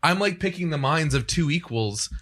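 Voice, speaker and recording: Bright, chatty male podcast host. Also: fairly high pitch, expressive, normal speed. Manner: chatty, joking, asks long rambling questions. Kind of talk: casual studio chat. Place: podcast studio.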